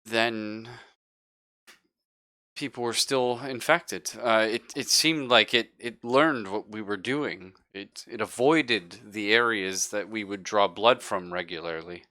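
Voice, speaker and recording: Casting: a man as anxious sci-fi doctor, low bright voice, careful halting delivery, tabletop game. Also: dry recording. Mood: hesitant, earnest. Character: anxious, apologetic male ship's doctor researching an infection. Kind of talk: improvised tabletop roleplay.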